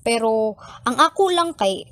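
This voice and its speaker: A woman acts a young student caller with a Filipino accent in a radio drama. Her voice is very high and close, with a dry sound. She takes her time, earnest yet giggly and cheeky. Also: animated, measured pace, a bit bright, dry recording. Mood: earnest, thoughtful. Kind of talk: acted call-in conversation.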